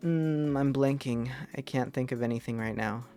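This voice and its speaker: A young man with a deep voice chatting casually with his stream chat while he plays, rambling and self-deprecating, trailing off with fillers. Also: moderate pace, dark tone, faint music behind. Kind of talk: casual gameplay commentary to chat.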